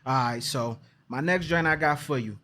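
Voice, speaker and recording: A young man from Maryland with a D.C.-area accent hosts a casual interview. His voice sits a bit high, and he asks easygoing, rambling questions full of fillers. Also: moderate pace, bright, forceful tone. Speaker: podcast host, interviewer.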